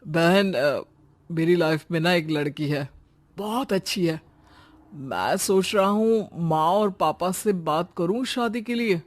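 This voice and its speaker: A woman's voice: lowish voice, takes it slowly. A woman playing an earnest, trusting young brother in a moral story, speaking in a confiding way. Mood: hesitant confiding. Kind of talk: acted moral story dialogue.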